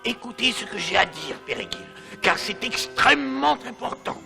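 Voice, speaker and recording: A man's voice: very high voice, animated, normal speed, gravelly, sharp tone, soft background music, exaggerated voice. A man playing a mysterious Moorish ghost in a French cartoon dub, solemn and urgently instructive. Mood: grave. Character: solemn, insistent Moorish ghost bearing secrets.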